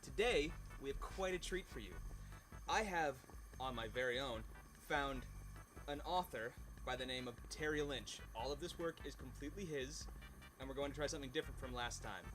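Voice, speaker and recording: A man hosting, introducing a reading in a playful mock-grand, excited and sweary way. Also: medium pitch, normal speed, rough recording. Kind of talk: playful scripted-sounding intro and casual chat. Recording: music playing behind the voice. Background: music.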